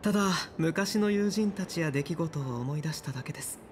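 An actor playing a polite, composed male military doctor in a video game, speaking in a courteous, measured, formal way. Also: on the high side, animated, slight background noise, close to an everyday voice. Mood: calm, courteous.

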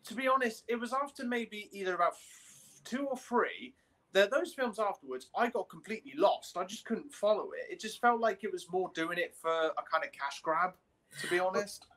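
Young man, high voice, casual halting chat, podcast co-host, video call. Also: expressive, fairly bright tone, rough recording. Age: young adult. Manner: halting, peppers speech with "like". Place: indoor room, video call.

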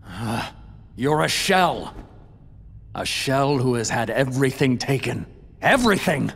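Slow, haughty villain in reverb. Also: male voice, medium pitch, sing-song, vocal fry, a bit bright, slightly rough, exaggerated voice. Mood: scornful, menacing. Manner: theatrical, contemptuous, grandiloquent. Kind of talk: video game cutscene acting. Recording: long hall reverb, music behind.

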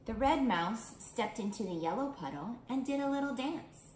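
Middle-aged female storytime reader for kids, slow and simple delivery, echoey room with clear background noise. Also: a little high, animated, darkish tone. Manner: simple, clear phrasing with questions to young listeners. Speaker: children's art studio teacher reading storytime. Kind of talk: picture book read-aloud for young children. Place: small indoor room. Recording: roomy indoor echo, clear background noise.

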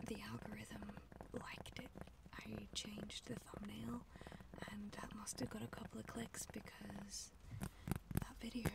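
A woman's voice: on the high side, gravelly, recorded from a distance, very noisy. A young woman whispering a rambling, reassuring ASMR chat while sitting in her parked car. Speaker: ASMR creator. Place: parked car, rain outside.

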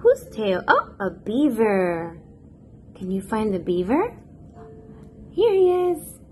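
A woman reading a picture book aloud to toddlers in a playful, expressive, sing-song manner. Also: mid-range voice, slow, soft background music. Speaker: children's picture book narrator, host.

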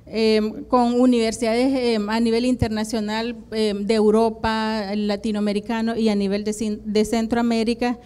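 Woman, middle-aged, smooth resonant voice, hesitant fillers, handheld mic conference talk. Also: a little high. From Atlantic coast of Nicaragua. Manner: hesitant, many "em" and "eh" fillers, steady explanatory pace. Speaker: university program coordinator, conference panelist. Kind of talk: conference presentation. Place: conference room with flags and projection screen. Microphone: handheld microphone.

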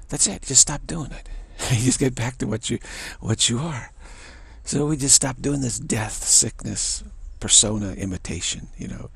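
A man with a deep voice giving a rambling spiritual monologue, often repeating words and chuckling. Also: creaky, fairly bright tone, husky, no room sound.

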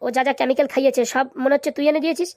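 A woman's voice: sits high, steady tone, very fast, darkish tone, no echo, studio quality. A woman voicing a bullying, brusque male police officer interrogating someone in a comic cartoon. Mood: threatening.

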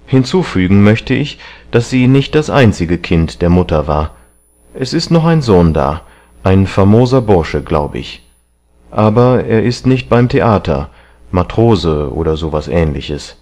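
A man's voice: sits low, big pitch swings, unhurried, darkish tone. A man voicing a callous young dandy in an audiobook novel, haughty, emphatic and defiant. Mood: airy, indifferent.

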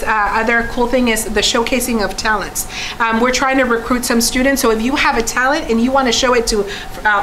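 A woman's voice: fairly high pitch, a bit bright, slight background noise, rough recording. A woman in her forties from Mexico, a school organizer speaking with enthusiasm and frequent restarts in a classroom. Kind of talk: casual promo chat. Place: classroom with world map.

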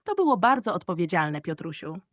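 A caring storybook mother, put on by a woman narrator, who admonishes gently. The recording is dry and close. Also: on the low side, sing-song, fairly quick, no echo. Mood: proud.

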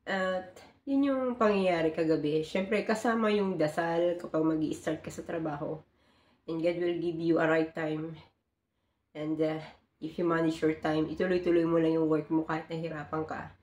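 A woman in her forties with a low voice talks earnestly to her phone camera, explaining her housekeeping work step by step. She drops English phrases into her talk. The small bedroom gives the sound a noticeable echo. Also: steady tone, normal speed, darkish tone. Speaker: hotel housekeeper vlogger. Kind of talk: casual vlog talk to camera. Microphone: phone camera at close range.

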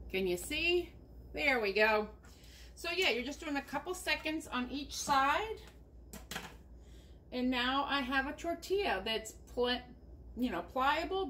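High-voiced chatty woman in a kitchen. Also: a bit bright, slight background noise. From United States. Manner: chatty, talks viewers through each step. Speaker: vlogger cooking at home. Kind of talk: casual cooking demonstration. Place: home kitchen. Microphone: camera mounted above the counter.

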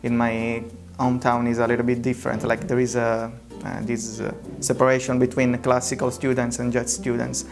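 Italian man, monotone gravelly voice, halting with many fillers, music playing behind. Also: medium pitch. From Italy. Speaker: music student. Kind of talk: casual interview answers. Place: classroom.

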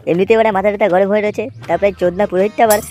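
Woman voicing a very fast, bossy street tough. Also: medium pitch, steady tone, music in the background, close to an everyday voice. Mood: annoyed, bossy. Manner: brash, cursing, impatient. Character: foul-mouthed, bossy young street tough from a gang of friends. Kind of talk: comedy cartoon dubbing.

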